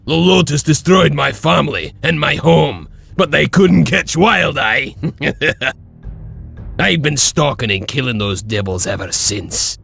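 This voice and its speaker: Wild, vengeful fighter for a game: heavy gravel, higher-pitched, swooping and unhurried. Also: male voice, animated, a bit bright, soft background music, full character voice. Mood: gleeful menace. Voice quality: gravelly, raspy. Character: gruff, vengeful wild older warrior, cackling and bold. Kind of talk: video game voice acting.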